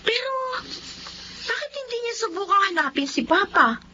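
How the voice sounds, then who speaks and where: A woman playing a plaintive, curious young adult daughter in a radio drama, with a Filipino accent and an expressive, whiny delivery. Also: extremely high pitch, moderate pace, highs cut off, noisy background. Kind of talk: Tagalog radio drama acting.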